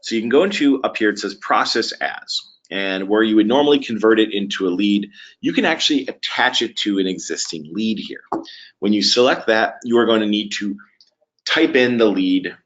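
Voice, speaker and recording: A man presenting a software webinar walkthrough in a conversational, halting way with frequent pauses. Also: on the low side, animated. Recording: dull, lo-fi webinar audio.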